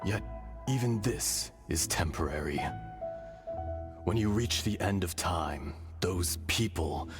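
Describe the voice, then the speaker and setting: Game casting: a dying ancient dragon spirit, very deep, slow and grave, loud score behind. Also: male voice, husky, heavily acted. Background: loud orchestral music.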